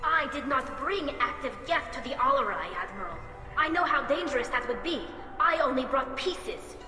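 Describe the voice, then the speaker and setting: A woman's voice: sits high, expressive, moderate pace. An actress playing an earnest, respectful young alien woman in a video game, anxious but spirited. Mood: respectful, firm. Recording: echoey hall, faint music behind.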